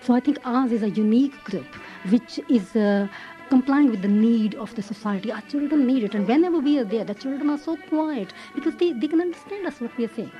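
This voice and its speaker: A woman's voice: fairly high pitch, animated, fairly quick, lo-fi sound, soft background music. A mature woman, a choir leader, explaining her group in an interview. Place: at home.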